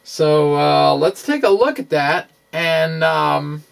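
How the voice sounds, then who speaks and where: Male science presenter, fairly high voice, slow and halting delivery, thinks aloud with a self-deprecating touch. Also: animated, creaky, smooth. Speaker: presenter of a physics video blog. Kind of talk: informal science lecture.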